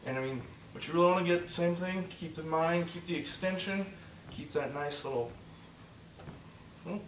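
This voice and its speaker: A man teaching a movement drill step by step, encouraging and repeating himself, slightly hoarse and short of breath. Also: on the high side, moderate pace, reverberant, distant mic, a little hiss or hum, low-quality recording. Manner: encouraging, repeats "just like that" and "good". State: losing his voice, a bit out of breath. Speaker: instructor. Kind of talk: step-by-step demonstration lesson.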